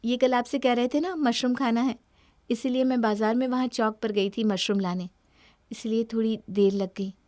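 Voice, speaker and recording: A woman plays a young newlywed daughter-in-law in a cartoon story. She is gentle and devoted yet stands up for herself, in a fairly high voice recorded very dry. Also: fairly even intonation, moderate pace, no echo, natural voice. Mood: cheerful, helpful. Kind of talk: Hindi cartoon moral-story voice acting.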